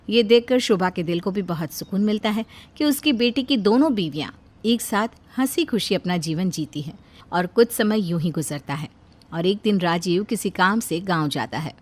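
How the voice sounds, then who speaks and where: A woman's voice: medium pitch, lively intonation, moderate pace. A woman narrator reading a scripted cartoon tale in storytelling style.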